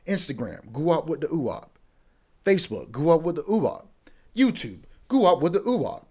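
A man's voice: fairly high pitch, big pitch swings, normal speed, darkish tone, slightly rough. Laid-back slangy man in his twenties. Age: 20s. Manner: laid-back, slangy, rambling with many fillers. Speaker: podcast host. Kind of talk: casual solo podcast monologue.

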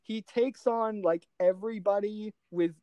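A podcast co-host talking casually about films in an animated, exasperated way, with some mild swearing. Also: medium pitch, unhurried, dry recording, dull audio. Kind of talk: casual film discussion.

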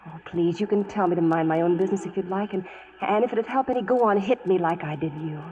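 Actress doing tender gutsy western gal, american, 1940s radio acting, muffled and noisy. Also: female voice, lowish voice, quick pace, warm tone, close to an everyday voice. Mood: earnest, tender. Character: bold, tender frontier young woman of a wagon train.

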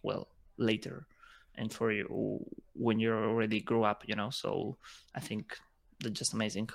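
Halting man. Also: lowish voice, fairly even intonation, vocal fry. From Ukraine. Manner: halting, repeats words as he thinks. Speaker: guest, software engineer. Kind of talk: casual interview chat.

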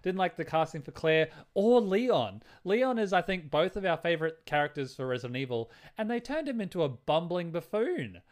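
A man's voice: on the high side, sing-song, normal speed, hardly any pauses. Blunt, opinionated man chatting about films. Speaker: podcast co-host. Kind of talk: casual film chat.

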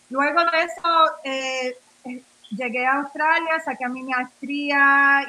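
Bright high-voiced Nuyorican woman. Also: poor audio. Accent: Nuyorican, Spanish mixed with English words. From New York, of Puerto Rican family. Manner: rambling, many fillers and restarts, switches into English. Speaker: guest. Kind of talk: casual interview talk. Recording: radio interview.

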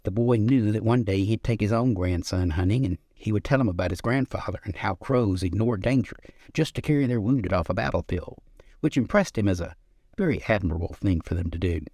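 A man's voice: fairly low pitch, fairly quick, mellow, no room sound. A man reading his own literary short story as its narrator.

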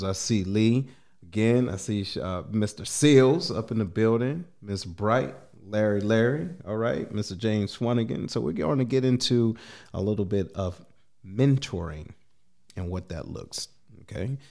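A man hosting a casual podcast interview, energetic, greeting live listeners by name. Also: fairly low pitch, a little slow, creaky. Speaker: podcast host.

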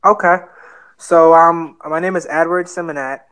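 A man's voice: fairly high pitch, normal speed, clean tone, poor audio. Bahamian man in his twenties. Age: 20s. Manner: relaxed, earnest, many fillers. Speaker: guest real estate investor. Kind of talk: podcast interview.

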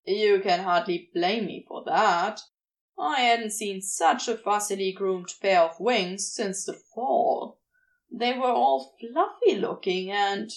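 A woman's voice: mid-range voice, takes it slowly. A woman voicing a sardonic, grumbling male demon in an audio story, blunt and dry but devoted underneath. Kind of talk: fan fiction audio reading, acted dialogue.

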